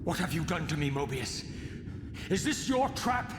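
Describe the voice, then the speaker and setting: An actor playing a vengeful, scornful wraith assassin in a video game, speaking with formal, taunting menace. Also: male voice, fairly high pitch, very animated, normal speed. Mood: accusing. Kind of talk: theatrical game cutscene acting. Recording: echoey hall reverb, music underneath. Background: game score.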